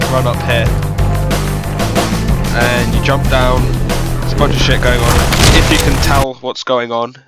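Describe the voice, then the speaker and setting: A man at an ordinary middle pitch, his voice nasal and sniffly from a head cold. He rambles through a self-deprecating commentary on a game level he built, full of filler words. Blaring game music plays loudly behind him. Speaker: map creator giving developer commentary. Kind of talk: casual gameplay commentary. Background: loud game music.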